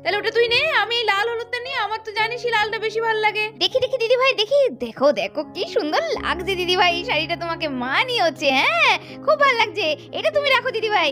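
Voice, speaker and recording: A woman voicing excited young doll women out shopping, chattering in an exclamatory sing-song way for a doll story. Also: very high voice, quick pace, music in the background. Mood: excited, admiring. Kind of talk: doll-story role play.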